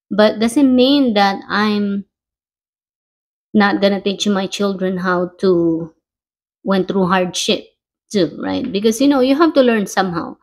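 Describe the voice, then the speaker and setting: A middle-aged woman from the Philippines with a Filipino accent, telling personal stories casually in halting, conversational English, often checking in with the listener. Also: lowish voice. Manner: halting, conversational, frequently seeking agreement with "you know". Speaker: vlogger. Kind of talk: casual personal storytelling to camera.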